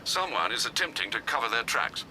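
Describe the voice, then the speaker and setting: An actor playing a dutiful, refined older butler in a video game, formal and gravely concerned. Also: male voice, medium pitch, big pitch swings, bright, forceful tone, slight background noise. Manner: formal, deferential, measured, dryly understated. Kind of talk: video game cutscene acting.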